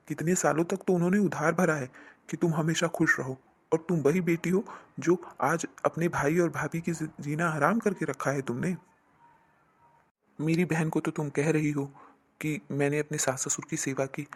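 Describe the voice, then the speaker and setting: A man playing a stern, reproachful husband who lectures emphatically in an animated family moral drama. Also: a little high, breathy edge. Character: stern, reproachful husband defending his sister.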